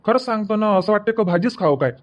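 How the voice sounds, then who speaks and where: A man's voice: high voice, lively intonation, quick pace. A man voicing an easygoing young husband in a comedy cartoon, who reassures his wife and keeps finding excuses. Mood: coaxing. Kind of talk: Marathi comedy cartoon dub.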